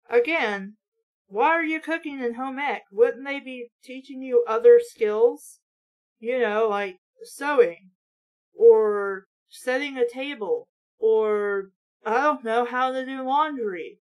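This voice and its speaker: Woman, high voice, casual book reading, sarcastic asides, blunt, profane. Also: rough recording. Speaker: host reading a book aloud with commentary. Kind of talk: casual, sweary reading with sarcastic running commentary.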